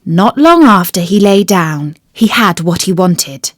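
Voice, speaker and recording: A woman reading a children's fairy tale as a storyteller, with deliberate, expressive phrasing. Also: fairly low pitch, no echo, studio quality. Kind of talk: scripted children's storybook narration.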